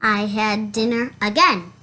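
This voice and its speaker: Someone reading a children's storybook aloud in a halting way, stumbling over words and going back over them. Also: medium pitch, steady tone, sharp tone. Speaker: reader of a children's picture book.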